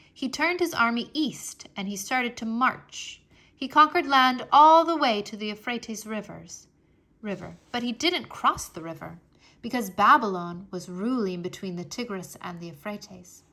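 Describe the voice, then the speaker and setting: A woman reading a history book aloud for children in an expressive, deliberate storytelling manner. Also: fairly high pitch. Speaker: read-aloud narrator.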